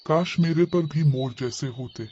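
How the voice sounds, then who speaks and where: A man's voice: on the high side, measured pace, mellow, lightly acted. Man voicing a self-pitying cartoon crow, muffled. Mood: wistful, self-pitying. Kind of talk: cartoon fable dialogue. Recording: dull lo-fi audio, faint background noise.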